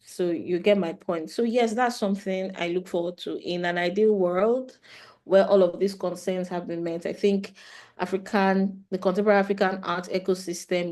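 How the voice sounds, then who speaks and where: A woman speaks thoughtfully on an online panel in a warm, mellow voice. She lays out her points with some restarts. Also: lowish voice. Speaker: webinar speaker. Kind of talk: panel discussion. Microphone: video call.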